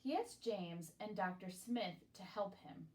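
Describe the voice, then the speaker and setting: Female storybook reader, mid-pitched, slow pace, expressive and deliberate phrasing. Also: rough recording. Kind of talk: expressive read-aloud of a children's picture book.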